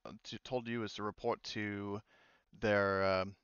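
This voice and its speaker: A man narrates a tabletop game as its game master. His voice is smooth and on the low side, with halting, informal phrasing. The sound is dry and a little dull, like online voice chat. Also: level tone, a bit bright, no echo.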